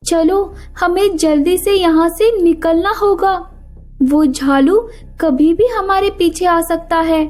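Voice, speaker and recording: A woman voicing an anxious, pleading little female bird in an animated children's story, with music behind her. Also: extremely high pitch, takes it slowly, voice pushed up. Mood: urgent. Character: frightened young female little songbird, earnest and quick-witted.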